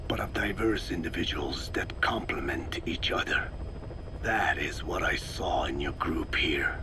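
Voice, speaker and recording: An actor playing a very old, wise Navajo scientist in a video game, solemn and lecturing, with a raspy, breathy croak. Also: male voice, fairly low pitch. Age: older. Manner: slow, deliberate, lecturing. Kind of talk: scripted dramatic game dialogue.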